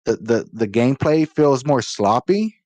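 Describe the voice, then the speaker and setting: A man's voice: mid-range voice, animated, measured pace, a little mellow, dry recording. Opinionated man griping about games. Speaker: podcast co-host. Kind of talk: casual gaming chat.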